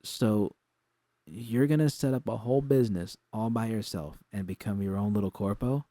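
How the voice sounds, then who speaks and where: A tough mercenary woman from an audiobook drama, acted out by a man, blunt and mocking. The tone is dark and mellow, with a scornful, sceptical bite and a very dry sound. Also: on the low side, normal speed, no echo, lightly acted. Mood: mocking, dismissive. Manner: blunt, mocking, foul-mouthed.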